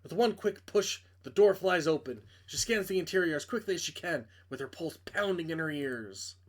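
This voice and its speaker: Male reader, slightly high register, dramatic narration of a visual novel, a little prone to stumbles. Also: lively intonation, normal speed. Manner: dramatic storytelling delivery, stumbles over words.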